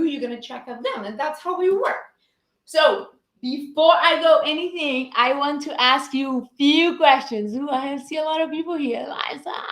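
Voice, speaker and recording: A woman's voice: high-pitched, a bit bright, echoey room. A woman with a Brazilian accent, an upbeat fitness coach leading a live-streamed class and chatting with her viewers.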